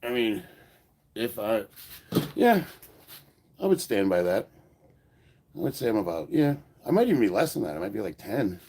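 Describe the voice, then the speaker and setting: A man's voice: medium pitch, sing-song, normal speed, creaky, long pauses, dark tone, slightly rough. A man in his fifties with an American accent, hosting a casual livestream and answering viewer comments bluntly with some swearing, stuffed up from a head cold. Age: 50s. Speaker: livestream host and powerlifter.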